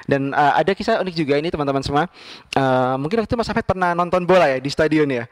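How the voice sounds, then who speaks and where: A man with an Indonesian accent and a slightly high voice hosts an interview at a quick clip. His delivery is wordy and conversational, captured close and dry. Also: no room sound. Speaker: interview show host. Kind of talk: conversational hosting.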